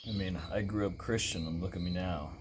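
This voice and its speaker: A man playing a dark shock-rock star who hosts a talk show and asks deliberate, probing questions. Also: low voice, fairly even intonation, background noise, natural voice. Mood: measured, curious.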